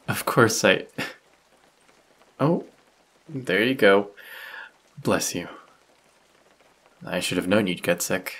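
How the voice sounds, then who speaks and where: Guy doing a kind polite vampire, low gravelly voice, slow n soft, teasing, audio roleplay in the rain. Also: expressive, heavily acted. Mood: kind, slightly wistful. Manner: soft-spoken, solicitous, politely old-fashioned. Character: kindly, courteous male vampire, gentle and teasing. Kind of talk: intimate scripted audio roleplay addressed to listener. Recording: close voice with rain sound effects. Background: rain sound effects.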